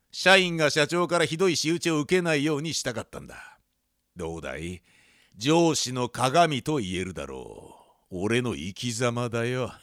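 Guy doing a jailed manager bragging like a martyr, radio comedy, higher voice, super swoopy and theatrical. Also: fairly bright tone, clean tone, no echo, studio quality. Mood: smug pride. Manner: dramatic, halting, boastful storytelling. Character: self-righteous convicted department manager confessing proudly in prison. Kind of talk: comic radio drama acting.

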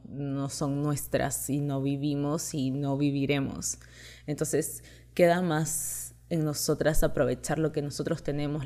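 A woman's voice: low voice, darkish tone. A young woman, raised in Chile, hosting a podcast and telling personal stories in a chatty, confiding way, laughing often. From Peru and Chile, raised in Chile. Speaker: podcast host. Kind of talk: casual personal storytelling.

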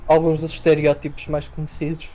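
Male interviewer, dark soft-edged tone, casual hesitant delivery, light music bed. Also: on the high side, monotone, fairly quick, rough recording.

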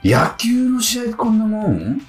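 A male radio host with a very lively, swinging intonation, chatting casually with a co-host. His delivery is halting and playfully incredulous. The sound is roomy, with light music underneath. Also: high voice. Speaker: radio show host and actor.

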